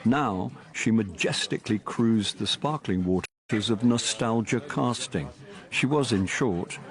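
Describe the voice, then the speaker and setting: An unlucky ageing British thespian in a radio comedy drama. His voice sits low, with a dry, sardonic delivery that suits wry confidences and awkward small talk. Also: measured pace. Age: older. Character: wry, unlucky ageing male actor and amateur detective.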